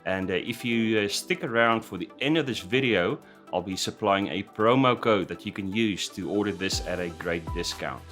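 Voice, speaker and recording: A man hosting a scale model tutorial in voice-over, talking hesitantly with many "uh" fillers and restarts, his voice slightly croaky after an illness. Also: lowish voice, normal speed, fairly bright tone. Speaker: scale model building host. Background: background music.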